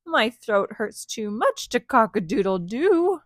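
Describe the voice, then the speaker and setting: A woman's voice: fairly high pitch, very animated, takes it slowly, no echo, clean studio sound, full character voice. A woman voicing a sick, worried storybook rooster with a sore throat, in a plaintive manner. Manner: coughing, plaintive.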